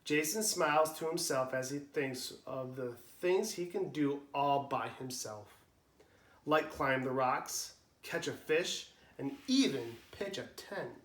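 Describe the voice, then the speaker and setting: A young man, a preschool teacher, reading a storybook aloud to young children in an animated, sing-song way. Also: mid-range voice, takes it slowly, echoey room.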